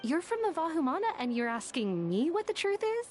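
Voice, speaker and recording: A woman's voice: sits high, sing-song, a little fast, darkish tone, faint music behind. A woman voicing a tactful, bookish young female scholar in a video game, wry, careful and a little shy. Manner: careful, wry, slightly hesitant.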